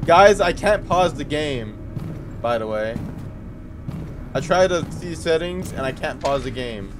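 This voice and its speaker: A man's voice: fairly high pitch, expressive, unhurried, background noise. A man in his twenties giving casual, sweary playthrough commentary on a horror game, talking to himself as he goes. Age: 20s. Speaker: gaming video creator. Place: bedroom.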